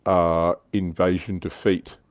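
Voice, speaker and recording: A man's voice: sits low, level tone, slow, no echo. A man hosting a casual hobby livestream, telling stories in an explanatory way with some swearing. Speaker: livestream host.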